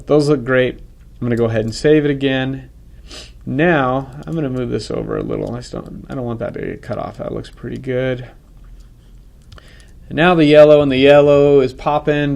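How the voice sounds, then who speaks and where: A man with a head cold, talking casually through a software tutorial and correcting himself as he goes. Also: medium pitch, creaky. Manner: casual, thinks aloud, self-correcting. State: congested from a cold. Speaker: software instructor. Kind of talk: screen-recorded software tutorial.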